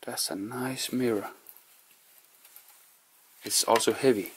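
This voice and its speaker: A man narrating quietly to camera as he explores an abandoned house, musing in hushed. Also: medium pitch, fairly even intonation, takes it slowly, noisy background. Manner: hushed, musing, non-native English phrasing. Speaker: urban explorer vlogger. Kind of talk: casual narration while exploring.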